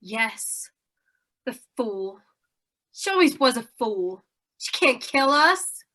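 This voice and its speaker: A woman plays a brassy carnival aunt in a radio crime drama. Her voice is bright and forceful, swinging widely in pitch. She is blunt, suspicious and defensive. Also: big pitch swings, slow pace, exaggerated voice. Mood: defiant, bitter. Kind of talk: old-time radio drama acting.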